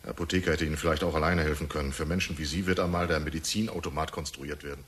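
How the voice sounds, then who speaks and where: A patronising professor of psychiatry with a deep voice. He is pompous and insistent, probing his patient in the manner of a radio drama. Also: steady tone, racing, fairly bright tone. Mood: curt, dismissive. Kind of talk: German radio drama acting.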